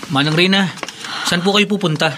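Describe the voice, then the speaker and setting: A man's voice: high voice, fairly quick, natural voice. A man playing a polite, helpful but wary young man in a radio drama, deferential and a little hesitant. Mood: eager, polite. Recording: clearly noisy ambient background.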